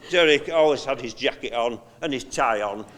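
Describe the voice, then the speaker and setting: An older man giving a halting, conversational memorial eulogy, telling anecdotes from notes with frequent pauses. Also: mid-range voice, fairly bright tone. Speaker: eulogy speaker, friend of the deceased. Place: large hall at a memorial service. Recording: long hall reverb.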